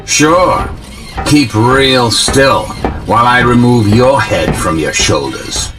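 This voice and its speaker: A vengeful cyborg supervillain in a video game. His mid-pitched voice moves animatedly from sardonic to threatening, with a taunting sneer and music behind it. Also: lively intonation, slow. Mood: menacing, mocking.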